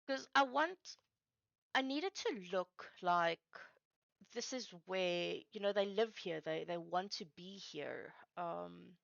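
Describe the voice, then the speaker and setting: A woman whose first language is Afrikaans chats casually as she works on a livestream, haltingly searching for words. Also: lowish voice, vocal fry, no room sound, lo-fi sound. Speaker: streamer building game maps live. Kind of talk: casual chat while working.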